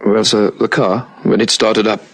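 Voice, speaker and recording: Husky young wounded constable played by an actor. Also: male voice, lowish voice, little pitch movement, close to an everyday voice. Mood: pained, earnest. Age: young adult. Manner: deferential, halting. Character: wounded young male police constable, dutiful and earnest. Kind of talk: vintage radio drama acting.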